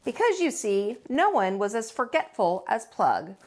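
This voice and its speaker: A woman with a fairly high voice reads a children's story aloud. Her pitch swings widely as she leans into folksy phrasing. She gives dramatic weight to key words, like a teacher at storytime. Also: measured pace. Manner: folksy, expressive, dramatic emphasis on repeats. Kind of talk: animated read-aloud storytelling.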